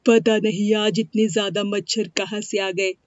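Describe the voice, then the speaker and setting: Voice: mid-range voice, steady tone, moderate pace, no room sound, lightly acted. Performer plays young male cobbler in a moral-story cartoon: meek, deferential, plaintive, close dry lo-fi sound. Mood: forlorn. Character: poor, humble, pleading orphan cobbler boy. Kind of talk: animated moral-story dialogue. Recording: dry, dull lo-fi studio sound.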